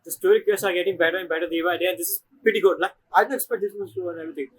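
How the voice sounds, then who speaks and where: A man giving casual game reaction commentary, often repeating his phrases in an animated way. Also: sits high, very fast, slightly rough. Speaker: game streamer.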